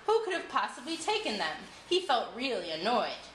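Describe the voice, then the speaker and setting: A woman's voice: fairly high pitch, wildly swooping pitch, normal speed, a bit bright. A woman narrating a folktale from a script, reading it aloud as a storyteller. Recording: echoey room with clear background noise.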